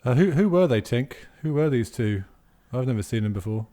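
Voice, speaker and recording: A man playing a casual, awkwardly friendly monster hunter who talks in a blunt, halting, matey way. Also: mid-range voice, lively intonation, warm tone, natural voice. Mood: awkward sympathy.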